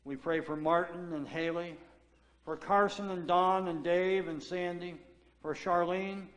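A man's voice: high-pitched, steady tone, fairly bright tone. Man praying in an echoey sanctuary. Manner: solemn, measured prayer cadence. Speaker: pastor. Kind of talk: pastoral prayer. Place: large church sanctuary. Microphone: far camera at back of sanctuary.

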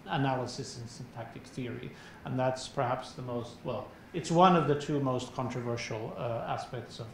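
A middle-aged man whose first language is Swedish, with a Swedish accent, giving an academic lecture in a measured, explanatory way with frequent filler pauses, on a lapel mic in a large lecture hall. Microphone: clip-on lapel mic. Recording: very echoey hall, faint rumble.

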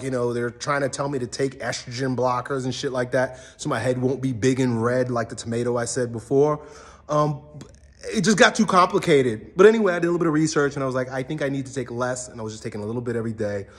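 Casting note: forties male vlogger, frank and blunt, mid-pitched, easygoing delivery. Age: 40s. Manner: candid, blunt, swears casually. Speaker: strength coach and channel host. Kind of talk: casual talk to camera.

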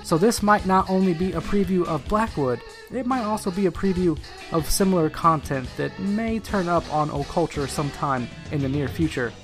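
Male podcast host, casual delivery, fairly high register, clear music bed underneath. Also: expressive, moderate pace. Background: music with guitar.

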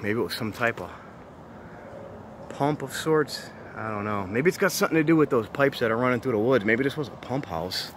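A man's voice: mid-range voice, brisk, slight background noise. A man narrating a casual walking tour, marveling aloud and talking to viewers as he moves through an overgrown abandoned site outdoors. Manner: relaxed, marvels aloud, talks to viewers as he walks. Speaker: urban explorer vlogger.

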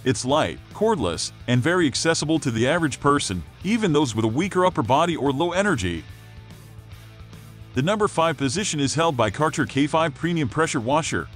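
A man reading a scripted product review voice-over in an upbeat sales-pitch manner. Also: very animated, a little fast, a bit bright, faint music behind. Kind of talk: scripted promotional voice-over narration.

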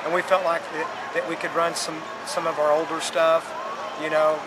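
Middle-aged man, American accent, earnest coach interview, somewhat high pitch, repeated phrases, very noisy outdoor recording. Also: level tone, normal speed. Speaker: high school football coach. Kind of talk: postgame interview. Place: outdoors at night by a stadium building. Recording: crowd chatter and loud outdoor field noise.

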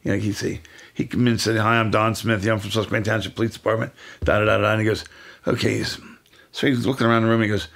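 A man's voice: lowish voice, racing, vocal fry. An older man, a retired police officer, telling old stories in a casual sit-down conversation and acting out the voices of people he quotes. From Pennsylvania, USA. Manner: animated, acts out quoted voices.

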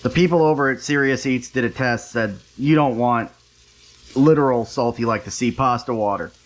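A man's voice: mid-range voice, normal speed, poor audio. A man hosting a home cooking show, chatting casually and making wry, self-deprecating asides as he cooks. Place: home kitchen, stovetop.

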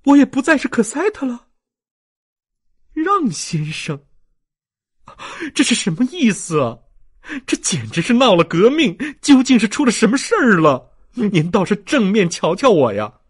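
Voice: mid-range voice, husky, pitched above the performer's own voice. A performer voicing an earnest, hurt young married woman in an audiobook, emotional and questioning as she pleads like a daughter. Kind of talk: audiobook character reading.

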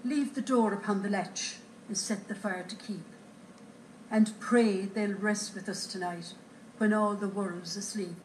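A woman recites a poem at a slow, steady pace with a mid-range voice. The theatre gives her words a roomy echo. A faint hiss sits beneath the recording. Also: mid-range voice. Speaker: poetry reader. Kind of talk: reading a poem aloud. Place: theatre stage. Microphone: far camera in the audience.

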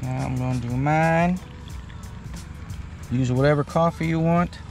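A man in his thirties giving a relaxed, chatty step-by-step demonstration outdoors at a campsite under a canopy tent. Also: fairly high pitch, unhurried, gravelly. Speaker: vlogger. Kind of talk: casual how-to demo. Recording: background music under voice. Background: music.

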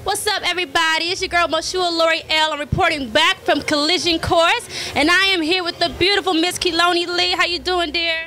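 A woman's voice: very high-pitched, fairly even intonation, a little fast, hardly any pauses, sharp tone. A young woman hosting a red carpet event, bubbly and playful, laughing often and using casual slang, speaking into a handheld mic. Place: event step-and-repeat backdrop.

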